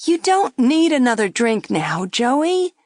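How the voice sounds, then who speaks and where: A woman's voice: sits high, big pitch swings, slow pace, no echo, studio quality, pitched above the performer's own voice, full character voice. A woman voicing an anxious young woman who urgently pleads with her drinking companion. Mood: pleading.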